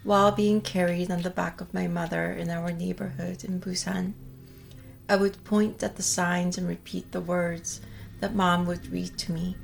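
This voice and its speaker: Korean-born woman artist, middle-aged, measured and thoughtful, slightly low voice. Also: level tone, creaky. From South Korea. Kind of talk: reflective personal narration. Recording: faint music behind. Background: soft music.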